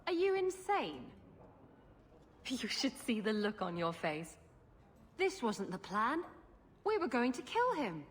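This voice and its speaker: An actress playing a brash, roguish pirate swindler in a video game, sassy and cheeky in manner. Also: female voice, fairly high pitch, very animated, slow, long pauses. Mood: indignant.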